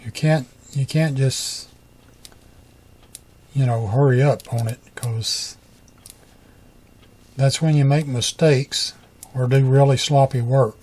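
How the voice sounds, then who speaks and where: Husky, mellow middle-aged man, folksy. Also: medium pitch, fairly even intonation, slow, long pauses. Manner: folksy, colloquial, muttering to himself while working. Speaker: repair hobbyist. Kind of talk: casual hands-on tutorial narration.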